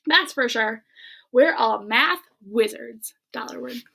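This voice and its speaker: A woman reading a children's book aloud in an expressive way, with casual chat to her listeners. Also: fairly high pitch, slow, gravelly, slight background noise.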